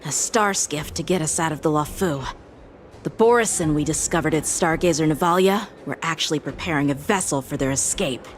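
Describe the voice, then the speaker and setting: A woman's voice: sits low, a little fast, slight background noise, close to an everyday voice. A woman voicing an earnest, bold young swordswoman in a video game, a forthright subordinate to her general. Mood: sober. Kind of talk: dramatic video game voice acting.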